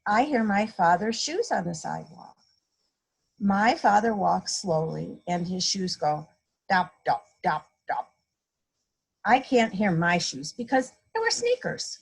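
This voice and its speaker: A woman reads a children's story at a slow, deliberate pace. Her voice is gravelly and a little rough, and she explains words simply for small listeners, playing up the little sound effects. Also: mid-range voice, sing-song. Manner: playfully acts out sound effects. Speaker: guest storybook reader, a mother. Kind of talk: read-aloud children's story time, simple teacherly explaining.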